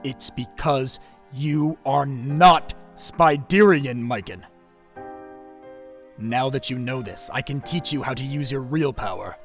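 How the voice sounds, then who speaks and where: An actor playing a solemn, firm mentor and guardian of a kingdom in an audio drama. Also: male voice, medium pitch, takes it slowly, a bit bright, soft background music. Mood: stern.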